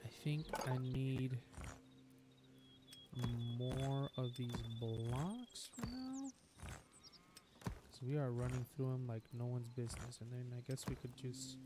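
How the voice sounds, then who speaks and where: A man casually rambling through commentary while he plays a building game, with a lingering cough that keeps breaking in. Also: medium pitch. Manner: chatty, rambling and upbeat. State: nagging cough. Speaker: gaming streamer. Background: game music.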